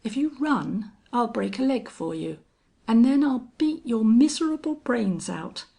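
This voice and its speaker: A woman's voice: mid-range voice, unhurried, creaky, breathy edge. A woman voicing a cold, contemptuous older male gunfighter in a western audiobook, steely and taunting. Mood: menacing. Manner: strange monotone, taunting, cold. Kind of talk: audiobook character acting.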